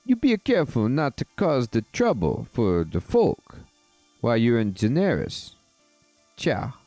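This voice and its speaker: A man voicing a streetwise, chummy male fairy in a video game, with an exaggerated mock Italian accent and a halting, theatrical delivery. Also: mid-range voice, big pitch swings, warm tone, no echo, faint music behind. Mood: chummy warning.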